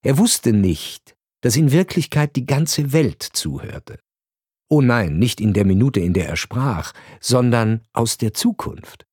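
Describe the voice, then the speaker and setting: A male narrator with a medium-pitched voice delivers scripted literary prose for radio. His phrasing is deliberate and measured, like an essay read aloud. The studio sound is dry, with next to no room on the voice. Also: big pitch swings, darkish tone, husky, no echo.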